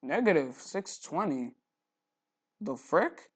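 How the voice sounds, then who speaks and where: A man hosting a casual software tutorial, thinking aloud informally with mild exclamations. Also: fairly high pitch, big pitch swings, takes it slowly, slightly rough, dry recording. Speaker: tutorial host.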